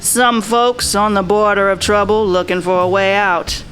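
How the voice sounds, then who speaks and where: A woman's voice: mid-range voice, steady tone, moderate pace, a bit bright, natural voice. A woman playing a world-weary, wry 1940s private detective, narrating in a folksy, slangy noir radio style. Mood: resolute, world-weary. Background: music.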